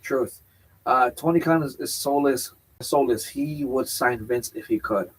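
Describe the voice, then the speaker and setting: A man's voice: mid-range voice, unhurried, slightly rough, poor audio. A man co-hosting a casual wrestling chat show, talking in a loose, rambling way and checking in with "right?". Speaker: podcast co-host.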